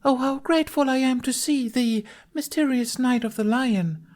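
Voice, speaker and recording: A man's voice: very high-pitched, moderate pace, darkish tone, pitched above the performer's own voice. A man voicing a smug male rabbit in drag who hams up a damsel's overwrought, archaic lament in a story. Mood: mock lamenting.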